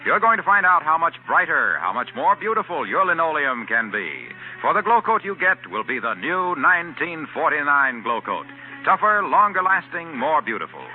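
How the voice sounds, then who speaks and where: A male announcer reading 1940s radio advertising in a polished salesman delivery, with a husky voice. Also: fairly high pitch, big pitch swings, moderate pace, soft background music. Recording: muffled vintage radio broadcast.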